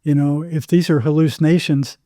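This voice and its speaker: Older American man with a very mellow voice. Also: fairly high pitch, flat delivery, fairly quick, dry recording, studio quality. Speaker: psychotherapist interview guest. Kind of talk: casual anecdotal storytelling.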